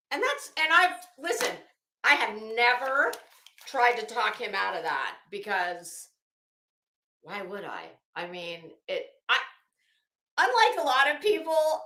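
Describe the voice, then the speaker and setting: A woman's voice: mid-range voice, sharp tone. A woman host chatting casually and bluntly with viewers on a livestream, informal and talkative. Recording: echoey room.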